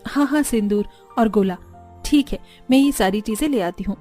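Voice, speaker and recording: A woman's voice: mid-range voice, moderate pace, dark tone, faint music behind, close to an everyday voice. A woman voicing a sensible, obedient young daughter-in-law in a cartoon, dutiful and practical. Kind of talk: Hindi cartoon voice acting.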